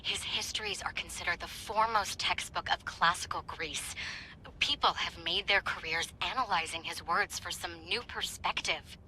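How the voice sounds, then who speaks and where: An actress playing an enthusiastic young history researcher in a video game, eager and gushing. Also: female voice, fairly low pitch, normal speed, bright, forceful tone, a little hiss or hum, rough recording. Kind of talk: video game cutscene acting.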